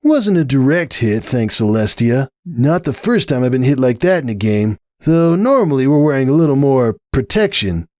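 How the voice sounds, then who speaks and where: A young cartoon pegasus jock, played by a man, pitched well up with lively swings. He grumbles wryly and dramatically, sounding strained and wheezy. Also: normal speed, few pauses, dry recording, clean studio sound, natural voice. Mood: wincing. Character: young male cartoon pegasus athlete, wry, stoic sore grumbler.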